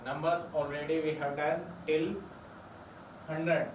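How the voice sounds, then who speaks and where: A man teaches a class, stretching his words and repeating each phrase at an unhurried pace. His voice sits a little high. He is heard from far off in an echoey room, with steady noise behind him. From India. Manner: slow, repetitive explaining, drawn-out words. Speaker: Spanish teacher. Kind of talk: classroom lesson.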